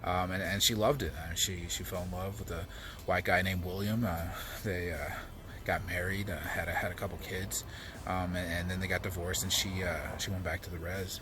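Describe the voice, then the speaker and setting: An American man telling reflective personal stories as a storyteller, speaking haltingly and often repeating words as he searches for the next phrase. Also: low-pitched, level tone. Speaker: comedian and storyteller.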